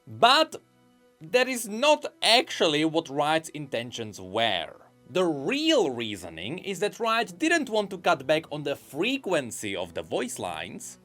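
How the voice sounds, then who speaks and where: Guy narrating game lore, voice jumps up and down a lot, kinda highish, quiet music playing. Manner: animated, explanatory. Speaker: video essayist on game lore. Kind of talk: scripted commentary.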